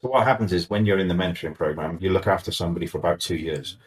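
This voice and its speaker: Deep-voiced older man. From Wales. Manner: measured, explanatory, with frequent restarts. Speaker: guest mentor. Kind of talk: relaxed conversational interview.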